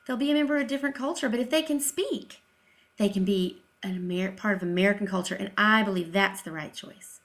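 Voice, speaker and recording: A woman's voice: mid-range voice, a little fast, fairly bright tone. A woman giving informal opinion commentary in an emphatic, sarcastic way, often posing rhetorical questions. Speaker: video commentator, deaf-education advocate.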